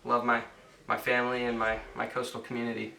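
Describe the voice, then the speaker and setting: A seventeen-year-old male surfer giving a relaxed, easygoing contest interview. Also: mid-range voice, a little fast, gravelly, roomy sound, recorded from a distance. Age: teens. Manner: relaxed, easygoing, restarts often.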